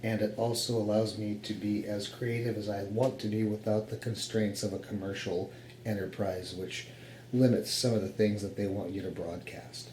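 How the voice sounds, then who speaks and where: A man's voice: lowish voice, flat delivery, moderate pace, a little mellow. A man with an American accent giving a casual, explanatory walkthrough of equipment in his home office. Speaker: hobbyist radio operator presenting his setup. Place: home office radio room, indoors. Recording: roomy echo with faint background noise.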